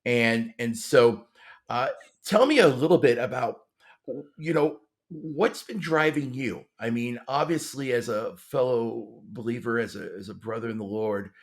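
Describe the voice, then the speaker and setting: A man's voice: medium pitch, measured pace. A man hosting a conversational podcast interview, with hesitant, rambling phrasing and repeated words.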